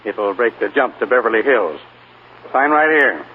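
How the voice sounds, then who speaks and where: A man's voice: medium pitch, animated, moderate pace, slight background noise, close to an everyday voice. Brusque wisecracking clerk played by a man, tinny reverb. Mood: dry, businesslike. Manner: curt, sardonic, businesslike. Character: brusque, wisecracking male rooming-house clerk. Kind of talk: 1940s radio acting. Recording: tinny reverberant 1940s radio recording.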